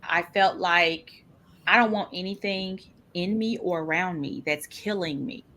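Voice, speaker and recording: A woman's voice: on the low side, measured pace, pushed and bright, a little hiss or hum. A woman guest speaking candidly and animatedly in an interview about her own experience. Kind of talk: casual interview talk.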